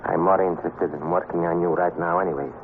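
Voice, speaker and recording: Actor as sneering, low-voiced gangster boss. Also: male voice, little pitch movement, quick pace, lightly acted. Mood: menacing. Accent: American. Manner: sneering, threatening, sarcastic. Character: menacing male gangster boss, sneering, short-tempered. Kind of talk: 1950s radio crime drama acting. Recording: muffled, faintly noisy 1950s radio recording.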